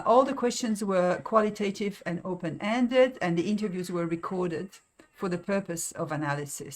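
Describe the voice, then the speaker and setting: Hesitant Belgian-born woman evaluator. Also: fairly low pitch. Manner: hesitant, many fillers and restarts. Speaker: project evaluator. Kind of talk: presenting research results.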